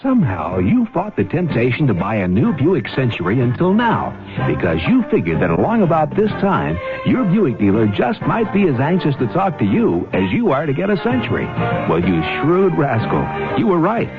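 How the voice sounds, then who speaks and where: A man's voice: on the high side, wildly swooping pitch, moderate pace. An American man reading a 1970s radio commercial as announcer, chummy, salesy and punchy. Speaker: advertising announcer. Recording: narrow-band old radio broadcast. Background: music.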